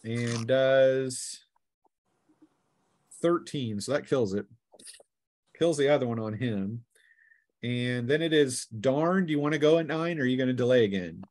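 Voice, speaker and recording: A man runs a tabletop role-playing game in a mid-pitched voice with a close, dry sound. He narrates casually as game master and teases his players playfully. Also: animated, measured pace, long pauses, a little mellow, no echo.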